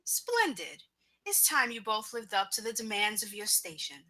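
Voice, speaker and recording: A woman's voice: mid-range voice, wildly swooping pitch, moderate pace, bright, forceful tone, full character voice. A woman playing a stern, controlling fairy godmother who scolds and commands in clipped phrases, at a script table read. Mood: coldly commanding.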